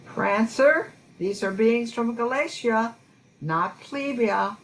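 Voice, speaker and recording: A woman playing a kindly, motherly wife of Santa, gentle and encouraging, in an amateur Christmas radio play. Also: a little high, slow pace, low-quality recording.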